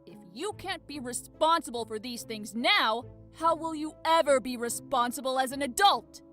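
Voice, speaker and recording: High, sharp-voiced scolding mother. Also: normal speed, soft background music. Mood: angry scolding. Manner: commanding, scolding, emphatic. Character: stern, angry mother scolding her teenage son. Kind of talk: visual novel voice acting.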